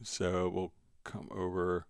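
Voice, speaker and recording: A man hosting a live software tutorial, answering viewer questions casually and thinking aloud in a halting way as he demonstrates. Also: low voice, flat delivery, creaky, smooth, no echo, clean studio sound.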